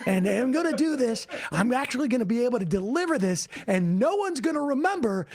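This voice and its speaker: A commentary host who keeps pausing a played clip to make sarcastic points. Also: medium pitch, very animated, a little mellow, a little hiss or hum. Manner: interrupts to pause the clip, sarcastic.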